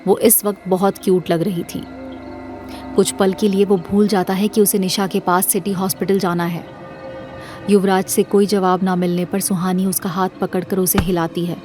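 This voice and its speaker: A woman's voice: mid-range voice, flat delivery, moderate pace, darkish tone, faint music behind. A woman narrating a scripted audio drama as its storyteller.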